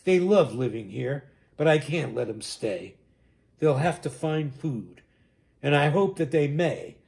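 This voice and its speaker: A man voicing a small, old, mossy forest creature, bossy, sharp and indignant, in a storybook reading. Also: fairly high pitch, animated, a little slow, gravelly. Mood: angry, sorrowful. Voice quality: sharp-edged. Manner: bossy, emphatic, protesting.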